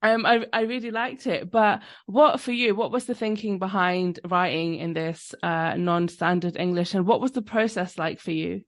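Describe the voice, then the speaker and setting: A woman hosting a podcast, talking quickly and chattily with frequent fillers as she asks her guest about a book. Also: lowish voice, fairly even intonation, dry recording, studio quality. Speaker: podcast host. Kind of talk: casual interview chat.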